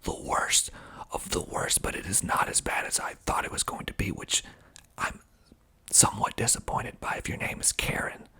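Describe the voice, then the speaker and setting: A man speaking in a hushed, breathy, husky ASMR whisper, reading aloud and commenting in a deliberate way. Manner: slow, deliberate, hushed delivery. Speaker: filmmaker reading reviews of his movie.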